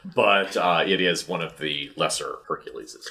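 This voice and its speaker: A man's voice: sits low, normal speed, creaky, pushed and bright. A man co-hosting a casual film podcast, chatting with friends in a jokey, wry way. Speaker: podcast co-host.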